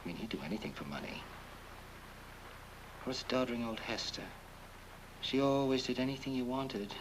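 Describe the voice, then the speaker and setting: A man plays a flippant, scheming lover in an old horror-comedy film. His mid-pitched voice swings animatedly with sardonic, theatrical relish, over a clearly noisy soundtrack. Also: expressive, moderate pace, lightly acted. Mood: sardonic, needling.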